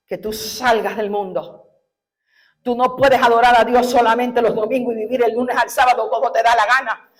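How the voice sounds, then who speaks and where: A woman pastor from Cuba preaching a sermon in a fervent style, climbing to shouted, tearful peaks and slowing down when she reads scripture. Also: on the high side. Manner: slow, deliberate scripture reading.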